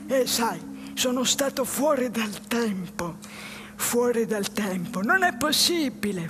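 An actress playing a lonely, dreamy, jobless man in a halting theatrical monologue. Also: high voice. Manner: slow, halting phrases with pauses. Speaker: stage actress. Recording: music behind the voice.

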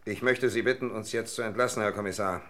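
A man plays a polite, weary gentleman in a German radio crime drama. His voice is very low, and his delivery is formal, grave and earnest. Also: fast talker, close to an everyday voice.

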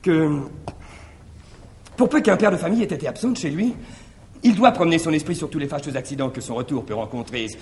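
Echoey old stage recording, cheeky male schemer servant, high pitched and theatrical. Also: expressive, a little fast, pauses often, a little mellow. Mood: mock philosophical. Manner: exaggerated, declamatory, swinging from wounded to mocking. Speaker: stage actor. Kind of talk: classical French farce stage acting. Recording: echoey, faintly noisy 1960s stage recording.

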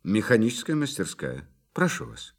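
Low-voiced, courteous scientist. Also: male voice, big pitch swings, moderate pace, vocal fry, husky, full character voice. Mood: courteous, assured. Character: polite, matter-of-fact male scientist acting as guide. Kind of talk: Russian radio play acting.

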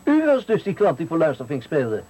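An actor in a Dutch radio play playing a cornered, frightened bank director, evasive and panicky, with a Netherlands accent. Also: male voice, on the high side, huge pitch swings, a little fast, dark tone. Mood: uneasy. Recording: faintly noisy 1980 radio recording.

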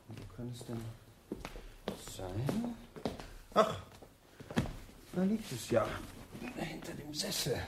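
An actor playing a deferential butler in a radio play, with a husky, formal voice. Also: male voice, mid-range voice, noisy background.

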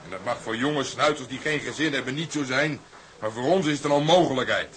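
A man's voice: mid-range voice, fairly quick, a bit bright, close to an everyday voice. An actor playing a weary, disillusioned older tugboat man in a radio drama, grumbling fatalistically. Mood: resigned, worried.

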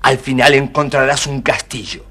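A man voicing a clever, bossy fox who gives sly, emphatic instructions in a husky voice, in a children's story. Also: medium pitch, fairly even intonation, moderate pace, fairly bright tone. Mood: encouraging, warning. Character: clever, bossy, helpful fox guiding a prince. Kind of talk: recorded children's storybook dramatization.

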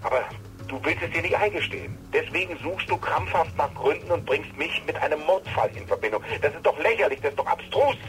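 An actor playing a persuasive lover under suspicion in a German radio drama, insistent and coaxing. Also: male voice, a little high, expressive, brisk, a bit bright, faint music behind, rough recording. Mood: pleading, indignant.

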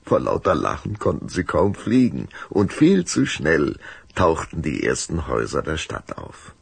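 A man's voice: rumbling low, wildly swooping pitch, a little slow, gravelly, slightly rough, no room sound, heavily acted. A man narrating a children's vampire audio drama as a dramatic, suspenseful storyteller. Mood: calm, storytelling.

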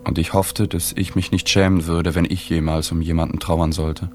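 An actor playing a reserved journalist who narrates a radio drama in the first person, restrained and matter-of-fact. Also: male voice, deep voice, little pitch movement, normal speed, a little mellow, faint music behind, close to an everyday voice. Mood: sober, reflective. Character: reserved, observant male journalist recounting a sad story.

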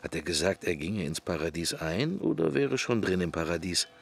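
A man's voice: low voice, lively intonation, moderate pace, creaky, faint music behind. An actor playing an irreverent man muttering sardonic private thoughts in a radio drama. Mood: sardonic musing. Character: irreverent male mourner musing privately at a funeral. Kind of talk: radio drama interior monologue.

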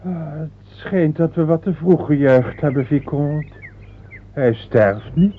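An actor playing a cautious, evasive high-ranking churchman in a radio play, sententious and pious, with a measured and guarded manner. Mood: pious, reproving. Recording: tinny, band-limited old radio play.

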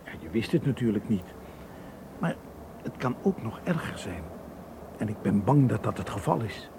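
An actor playing a careful, level-headed Egyptian tour guide in a radio drama, measured and reassuring. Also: male voice, fairly low pitch, big pitch swings, vocal fry, mellow. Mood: grave, explaining. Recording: noisy old radio play recording.

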